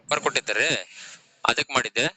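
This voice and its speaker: A man's voice: medium pitch, brisk, bright, forceful tone. A man with a North Karnataka Kannada accent making a prank phone call, speaking in a colloquial, provoking, repetitive way. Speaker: prank caller.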